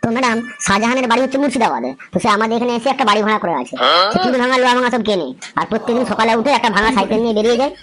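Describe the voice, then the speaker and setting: A man's voice: extremely high pitch, racing, a bit bright. A man voicing a mischievous schoolboy friend in a comic cartoon, giving smart-alecky answers.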